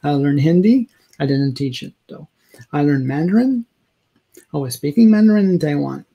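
Higher-pitched man, halting talker. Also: lively intonation, vocal fry, slightly rough, lo-fi sound. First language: French. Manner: halting, searching for words, self-correcting. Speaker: video blogger. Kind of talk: casual opinion rant to camera. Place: small indoor room, home office.

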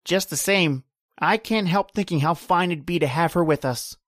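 A man playing a gentle, hopeful husband who speaks softly and wistfully in a radio drama. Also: on the high side, moderate pace, dry recording, studio quality, natural voice.

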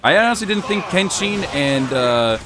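A young man chatting through a casual let's-play, rambling with many fillers, his voice a little hoarse and wearing thin. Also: high-pitched, very animated, normal speed, over music. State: slightly hoarse, losing his voice. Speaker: gaming channel host.

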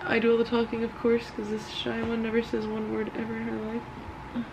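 A woman's voice: fairly high pitch, monotone, brisk, vocal fry. A young woman vlogging from inside a car in casual chat, telling stories animatedly and laughing often. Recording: dull muffled audio with loud road noise.

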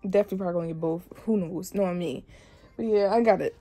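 A woman's voice: fairly low pitch, racing, mellow, soft background music. A young woman vlogging casually as she cleans her room, rambling and talking straight to her viewers. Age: young adult. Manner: chatty, rambling, addresses viewers. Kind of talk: casual chat.

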